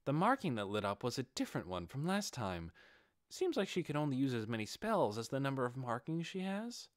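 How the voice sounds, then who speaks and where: A man's voice: mid-range voice, huge pitch swings, a little fast, dry recording. A man voicing a cool, calculating undead warrior lord in armor for an audiobook, terse and dry. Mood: calm, calculating.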